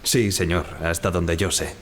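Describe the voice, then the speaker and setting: An actor playing a dutiful, respectful ship's radio officer who recalls events in a measured way for a radio drama. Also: male voice, deep voice, fairly even intonation, slight background noise. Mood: deferential, guarded. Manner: deferential, measured. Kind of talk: radio drama acting, first-person recollection.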